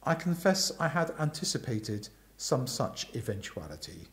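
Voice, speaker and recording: A man voicing an unflappable, deferential valet who speaks in measured, polite, formal phrases. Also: medium pitch, sing-song, fairly bright tone. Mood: composed.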